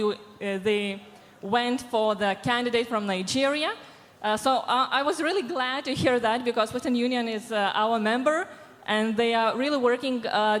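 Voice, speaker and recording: A woman's voice: a little high, a bit bright. Woman speaking on a hall stage. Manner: measured, many filler pauses. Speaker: panelist from a diversity organization. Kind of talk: panel discussion answers. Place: large event hall stage. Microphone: handheld microphone. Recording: reverberant hall sound.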